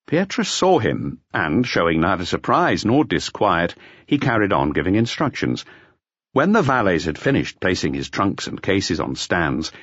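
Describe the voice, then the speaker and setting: Male audiobook narrator for crime fiction, notably deep, with animated intonation. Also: husky, dry recording, dull audio. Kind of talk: scripted literary narration of a detective novel.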